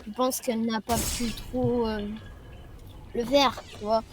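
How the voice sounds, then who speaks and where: A woman with a slightly high voice reasons aloud during an improvised game roleplay. Her voice chat carries clear background noise. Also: level tone, takes it slowly. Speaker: roleplay player.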